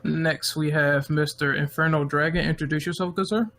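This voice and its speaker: A man's voice: on the high side, steady tone, normal speed, fairly bright tone. A man hosting a casual group podcast, upbeat and introducing guests one by one. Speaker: podcast host.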